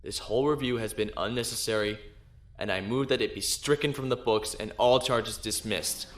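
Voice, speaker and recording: An actor playing an assured, defiant space-navy lieutenant who argues formally in a science fiction audio drama. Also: male voice, fairly low pitch, moderate pace, natural voice. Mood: confident, insistent. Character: defiant young male space-navy lieutenant defending himself. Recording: roomy echo.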